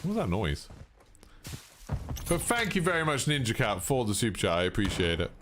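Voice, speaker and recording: A man with a British accent chatting casually and calmly to viewers while playing a game, with a deep voice. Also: fairly quick, background noise. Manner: laid-back, chilled delivery. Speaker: gaming streamer. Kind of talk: casual live-stream chat over gameplay. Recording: gameplay gunfire and clicks behind.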